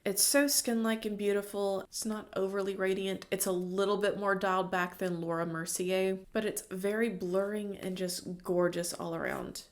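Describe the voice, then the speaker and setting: A woman's voice: mid-range voice, level tone, normal speed, hardly any pauses. A woman beauty vlogger, congested from a cold, giving chatty, opinionated product reviews in casual slang.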